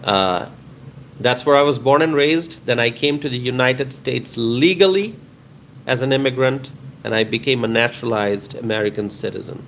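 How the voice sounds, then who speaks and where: A man hosting a live stream talks casually with his viewers. He reads their comments aloud, answers each one, and repeats key phrases for emphasis. His voice is mid-pitched, and a faint hiss of background noise sits under it. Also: normal speed, fairly bright tone. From Bangladesh.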